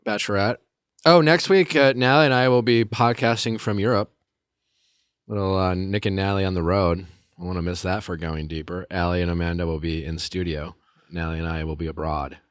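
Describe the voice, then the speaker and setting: A low-pitched male podcast host with a smooth, resonant voice, recorded close and dry. He guides callers through their argument and restates each side plainly before pushing them forward. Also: steady tone, a little fast, dry recording. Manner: direct, summarizing, steering the talk. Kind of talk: casual mediation chat.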